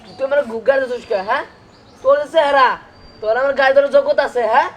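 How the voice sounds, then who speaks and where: A man plays a dismissive bike owner in a village comedy. His voice is very high and full of scorn. There is faint outdoor noise behind it. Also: steady tone, very fast, dull audio. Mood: scornful. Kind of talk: comedic village drama acting.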